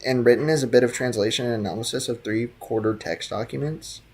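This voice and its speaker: A man's voice: mid-range voice, a little fast, slightly rough. Laid-back slangy young male streamer. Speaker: game streamer. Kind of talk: casual chat reading live chat.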